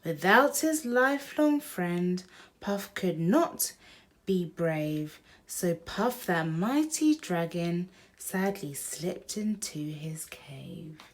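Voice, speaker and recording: A woman's voice: fairly low pitch, expressive, slow. A woman hosting a children's picture book read-aloud, speaking directly to young listeners.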